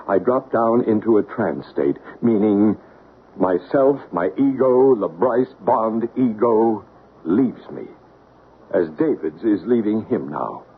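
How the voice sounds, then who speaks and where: An actor playing a psychic medium who leads a séance in a calm, coaxing, patient way. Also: male voice, lowish voice, a little slow, a little hiss or hum. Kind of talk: 1970s radio drama acting. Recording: tinny old radio broadcast.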